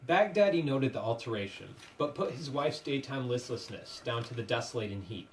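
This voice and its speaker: Man, mid-pitched voice, theatrical scripted narration, measured literary delivery, distant microphone. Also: lively intonation. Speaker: puppet show narrator. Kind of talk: dramatic storytelling, scripted narration. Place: live puppet show performance, room. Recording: distant microphone with faint room noise.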